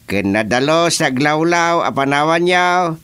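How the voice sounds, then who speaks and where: A man's voice: on the high side, lively intonation, unhurried, sharp tone, clean tone. A man playing a bossy, teasing village council chairman in a rural radio comedy drama, lecturing and dropping in English phrases. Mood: stern, official. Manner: lecturing, mixes English into his speech.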